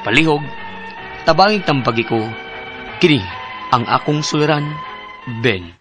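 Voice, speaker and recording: Worried, animated young husband in radio drama. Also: male voice, fairly high pitch, unhurried, music in the background. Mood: worried, pleading. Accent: Cebuano Filipino. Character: worried, earnest husband in his thirties seeking advice. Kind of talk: radio drama acting and letter narration.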